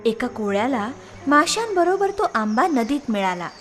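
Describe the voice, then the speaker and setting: A woman's voice: on the high side, big pitch swings, normal speed, soft background music. A woman narrating a scripted moral story for children.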